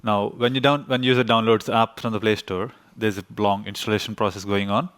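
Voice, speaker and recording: Low-voiced man explaining on stage. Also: level tone, a bit bright, no echo. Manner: explanatory, slightly halting. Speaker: conference speaker. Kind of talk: technical presentation.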